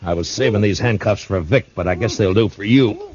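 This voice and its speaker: Actor as a stern frontier marshal, very deep voice, grave measured delivery, muffled old radio sound. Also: male voice, a crowd in the background, natural voice. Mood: firm. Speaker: narrator and lead. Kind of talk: 1950s radio drama acting. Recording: dull vintage radio recording.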